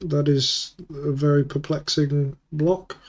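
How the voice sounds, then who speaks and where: A man's voice: a little high, little pitch movement, takes it slowly, darkish tone. A man with a cold and a sore throat, muttering casual play-by-play commentary as he plays an online card game and thinks aloud. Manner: muttering, self-correcting play-by-play.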